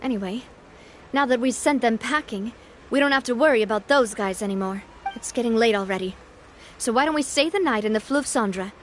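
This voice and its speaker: A woman's voice: on the high side, a little fast, slight background noise, lightly acted. A woman voicing a young woman who leads a group in a video game, firm, composed and sincere. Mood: brisk. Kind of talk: game voice acting. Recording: game audio with faint music behind.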